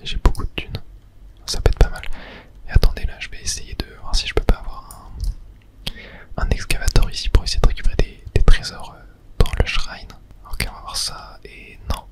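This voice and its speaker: A gaming streamer speaks entirely in a soft, breathy whisper. The delivery is ASMR gameplay commentary, murmured as thinking aloud, with no voiced tone. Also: moderate pace.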